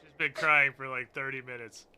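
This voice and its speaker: A man's voice: medium pitch, steady tone, normal speed, pushed and bright, smooth, soft background music. A man giving casual, joking live game commentary in a teasing, sweary way. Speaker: game streamer.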